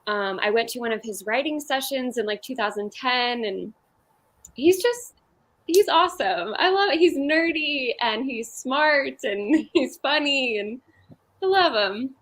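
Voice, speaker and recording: Enthusiastic woman with a higher voice. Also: animated, measured pace, fairly bright tone. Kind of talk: casual chat.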